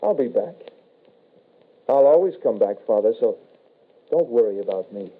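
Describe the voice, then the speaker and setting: An actor playing an undead shapeshifting son, calmly menacing and apologetic, in old-time radio horror style. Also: male voice, medium pitch, normal speed, natural voice. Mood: apologetic menace. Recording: tinny, band-limited vintage radio broadcast.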